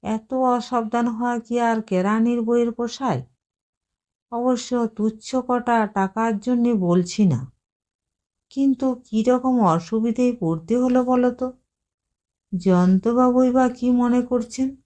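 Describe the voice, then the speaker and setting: A smooth, sweet-talking man who keeps asking his neighbour for money, voiced by a woman narrating an audio story. His voice is clear and crisp, his manner apologetic yet practised. The delivery stays slow and mellow. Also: fairly high pitch. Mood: wheedling. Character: smooth-talking male tenant, always borrowing. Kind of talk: read as quoted dialogue in an audio story.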